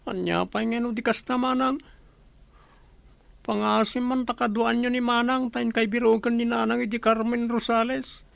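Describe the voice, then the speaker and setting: Actress as burdened young sibling, heavily creaky voice, earnest, plaintive, dry close sound, radio drama. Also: female voice, a little high, fairly even intonation, moderate pace, dry recording.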